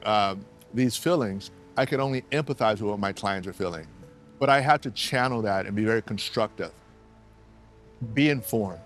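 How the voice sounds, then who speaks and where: A man's voice: medium pitch, fairly bright tone. A man working as an immigration attorney, answering interview questions in an earnest, explanatory way and repeating key phrases for emphasis, recorded at a law office.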